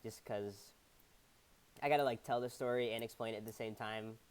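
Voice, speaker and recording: A man's voice: on the low side, fairly even intonation, normal speed, smooth. A man co-hosting a podcast in casual chat, laid-back and trailing off, with a stuffy head cold. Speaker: podcast co-host.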